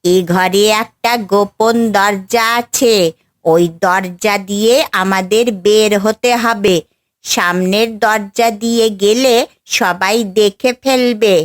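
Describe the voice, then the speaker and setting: A woman voicing a caring, loyal female parrot in a fairy-tale cartoon, coaxing gently. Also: mid-range voice, fairly even intonation, slow pace, dry recording, clean studio sound, natural voice. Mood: urging. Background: background music.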